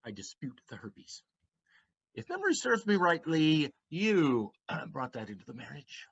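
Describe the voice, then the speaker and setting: A man's voice: on the high side, very animated, unhurried, vocal fry, breathy edge, dull audio, exaggerated voice. A man playing a weary, defensive ex-husband in an audio play, with dry, exasperated retorts.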